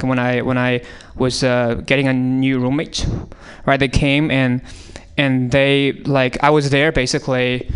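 A man with a Chinese accent riffs on comedy prompts in a radio studio. His voice is clean and smooth, at an ordinary middle pitch. He rambles, restarts his sentences and leans on fillers. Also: a bit bright. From China. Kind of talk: improvised comedy on audience prompts.

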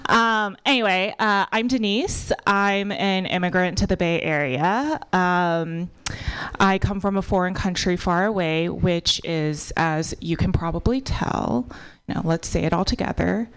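A woman from Texas performing casual stand-up comedy in a halting, conversational, mock-bewildered way. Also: fairly low pitch, a bit bright.

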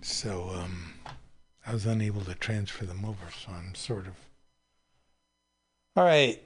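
A man hosting a radio show, apologizing and talking haltingly with many fillers. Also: low voice, over music. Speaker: radio host.

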